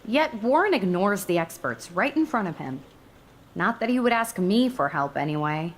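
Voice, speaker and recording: Snippy young female student, acted by a woman, jealous edge, game drama, clear background noise. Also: mid-range voice, very animated, normal speed, lightly acted. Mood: jealous, cutting. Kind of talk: naturalistic video game acting.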